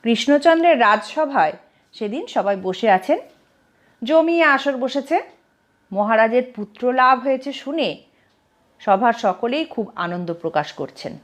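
Lady reading a funny story, expressive, highish voice that moves around a lot. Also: measured pace. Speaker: storyteller, narrator. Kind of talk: scripted comic story narration.

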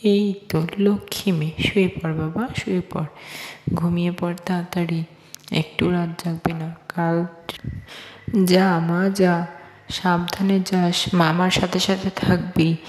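A woman acts a fussing, affectionate cartoon village mother, cautious about her family. She talks slowly, and her voice rings with a long echo. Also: fairly low pitch, level tone, a little mellow, close to an everyday voice. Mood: gentle warning. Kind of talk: amateur cartoon dubbing. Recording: echoey, long reverb.

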